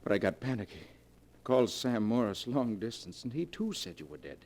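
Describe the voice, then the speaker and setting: An actor playing a haunted, fearful husband with anxious melodrama, in the manner of 1940s radio acting. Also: male voice, lowish voice, sing-song, fairly quick, darkish tone, a little hiss or hum. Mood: shaken, confiding. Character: haunted, panicky husband, guilt-ridden and fearful.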